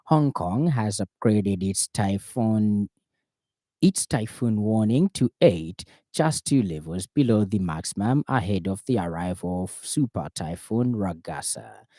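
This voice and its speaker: Mellow man, Zambian accent, scripted radio bulletin, slightly deep, close dry studio sound. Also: expressive, unhurried, no echo. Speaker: radio news reader. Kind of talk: scripted news bulletin reading.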